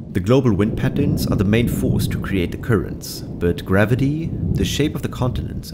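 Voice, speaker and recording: Low-pitched man narrating an explainer. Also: very noisy. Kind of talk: scripted documentary-style narration. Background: background music.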